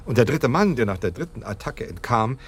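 A man acting in a German radio play as an analytical, dryly ironic detective who speaks in measured, formal, condescending phrases. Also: mid-range voice, sing-song, slight background noise, close to an everyday voice.

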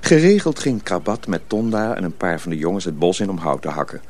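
A man narrating a radio play as a measured, expressive storyteller reading from a script. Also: low-pitched.

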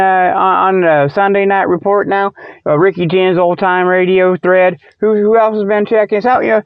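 Guy with a high voice doing a rambly folksy comedy show, jokey, close dry sound, bit muffled. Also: clear, resonant tone, no room sound. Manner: joking, folksy, often chuckling at himself; colloquial rural-style grammar such as ain't and them. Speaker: host of a comic show. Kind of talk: rambling off-the-cuff comic monologue.

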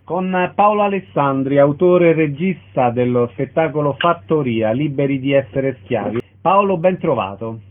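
A man's voice: on the high side, animated, normal speed, hardly any pauses. A man hosting a radio interview, introducing his guest and putting short questions. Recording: narrow, phone-like radio sound.

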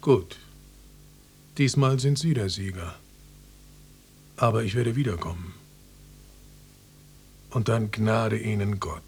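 A man's voice: mid-range voice, animated, brisk, background noise, close to an everyday voice. An actor playing a smarmy, officious door-to-door salesman of medical bandages in a German radio drama, with over-polite, jargon-filled patter. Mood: menacing.

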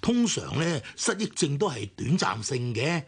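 An anxious corporate deputy in a Hong Kong Cantonese radio drama. His voice is raised and swings widely, rough-edged, as he stammers and soothes deferentially. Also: a little high, big pitch swings, measured pace, full character voice. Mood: concerned, soothing. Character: anxious, placating company deputy general manager.

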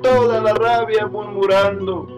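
A man with an Argentine accent reciting a rural tale as a storyteller, theatrically and deliberately. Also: high voice, flat delivery. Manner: theatrical, deliberate, with repeated phrases. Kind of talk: dramatic spoken-word recitation of a rural tale over music. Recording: dull recording, distant, under loud music. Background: plucked guitar music.

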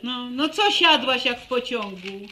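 A woman's voice: fairly high pitch, lively intonation, a little slow, sharp tone. An actress playing a bossy, fussing village mother in a radio drama, nagging in speech. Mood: scolding, insistent. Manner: scolding, nagging, folksy.

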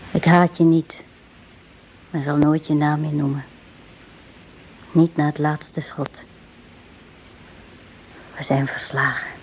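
A woman's voice: low voice, a little mellow, a little hiss or hum. An actress playing a brave, devoted woman in grief in a radio drama, with solemn, theatrical phrasing. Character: grieving devoted woman, brave, tragic, resigned. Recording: muffled old radio drama recording.

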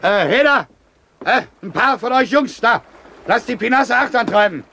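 An actor playing an impatient pirate sailor who barks urgent orders across a deck in a 1970s German radio play. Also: male voice, expressive, moderate pace, bright, forceful tone, heavily acted. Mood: bossy, urgent. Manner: barking, urgent, high strained calling. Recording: noisy old radio ship-deck scene.

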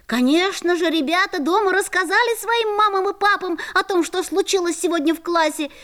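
A woman's voice: extremely high pitch, brisk, fairly bright tone. A woman playing an earnest young schoolboy. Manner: earnest storytelling. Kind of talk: musical fairy-tale audio play narration.

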